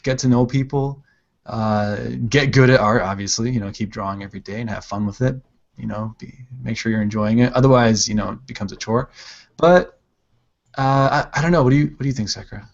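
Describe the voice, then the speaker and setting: A man hosting a casual art livestream, chatting while he draws, relaxed and rambling with plenty of filler words. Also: medium pitch, brisk, dull audio. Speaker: livestream host, digital artist.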